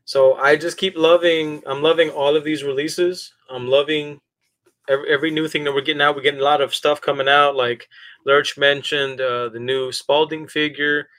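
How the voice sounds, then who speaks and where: A man's voice: a little high, little pitch movement, normal speed, fairly bright tone. A man co-hosting a casual podcast about horror collectibles, talking in a laid-back, swearing, bro style. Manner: laid-back, profane, calls people bro. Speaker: podcast co-host.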